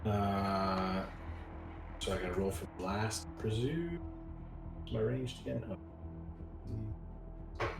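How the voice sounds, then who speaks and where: A man's voice: on the low side, lively intonation, slow pace, long pauses. A man playing a tabletop game, chatting casually and bluntly. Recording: roomy echo, clear music underneath.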